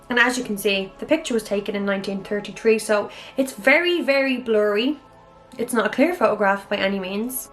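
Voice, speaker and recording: A woman hosting her own channel, chatting her way through a mystery topic, with a slightly hoarse, raspy voice and an enthusiastic manner. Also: fairly high pitch. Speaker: YouTube channel host. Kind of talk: casual monologue on a mystery topic.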